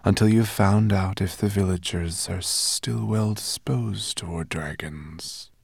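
A huge, ancient sea serpent, voiced by a man, she drawls each word slowly with a soft hiss. The voice sits a bit above his deep natural tone, gravelly and dry. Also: dry recording, full character voice. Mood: solemn. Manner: slow, hissing and measured. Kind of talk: audiobook character voice.

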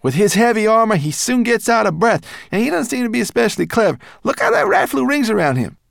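A man voicing a brusque, furry male mountain creature who talks high and impatiently. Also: sing-song, fast talker, gravelly, no echo, clean studio sound, exaggerated voice. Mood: offhand.

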